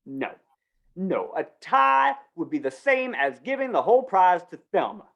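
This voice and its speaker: A woman's voice: lowish voice, very animated, moderate pace, gravelly, highs cut off. A woman playing a slippery, wheedling male small-town fair organizer in an old-time western radio drama, coaxing and folksy. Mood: insistent. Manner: coaxing, folksy, falsely reassuring.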